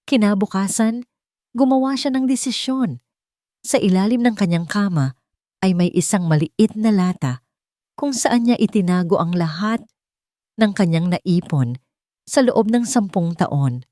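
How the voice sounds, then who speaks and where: Filipino woman narrating a dramatic story, very lively intonation, slightly low voice. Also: dry recording, studio quality.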